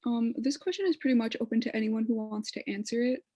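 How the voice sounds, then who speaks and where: A woman's voice: a little high, expressive, fast, mellow, dry recording, clean studio sound. A woman moderating a panel, asking questions in a casual, upbeat way over a video call.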